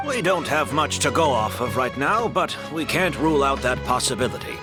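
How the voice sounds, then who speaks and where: A man's voice: medium pitch, expressive, normal speed, lightly acted. An actor playing a kindly, humble older male perfumer and mentor in a video game, modest and thoughtful. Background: game background music.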